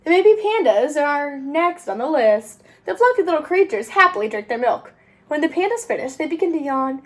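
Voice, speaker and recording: A woman reads a children's book aloud. Her voice is high and swings widely in pitch, with an expressive, sing-song storytelling delivery suited to young listeners. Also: moderate pace. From United States. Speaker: host of a read-aloud channel.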